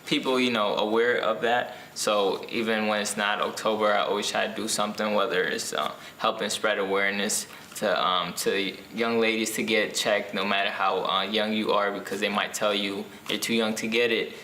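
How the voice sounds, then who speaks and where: A young man from Ethiopia, an author answering interview questions casually, pausing often with ums and restarts. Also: fairly low pitch, steady tone, sharp tone, reverberant, slight background noise, poor audio. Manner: hesitant, many ums and restarts.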